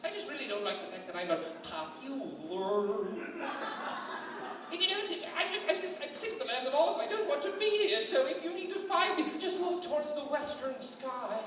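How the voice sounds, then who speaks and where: Whiny, shrill young witch voiced by a man, squeaky and theatrical, from far off in a big echoey hall. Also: animated, normal speed, background noise. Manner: whiny, high-pitched, theatrical. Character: whiny unpopular green-skinned witch, young woman.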